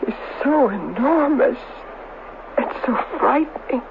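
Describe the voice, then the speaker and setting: A woman's voice: sits high, animated, takes it slowly, creaky, narrow, tinny audio, full character voice. An actress playing an anxious, easily frightened woman in a 1970s radio drama, hesitant and dramatic in her delivery. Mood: horrified. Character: frightened, pleading woman horrified by a monster. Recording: noisy, thin 1970s radio broadcast.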